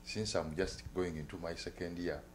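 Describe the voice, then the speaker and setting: A man from Uganda, an international student answering interview questions in a halting way with many pauses. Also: low-pitched, steady tone. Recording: noisy hum in the background.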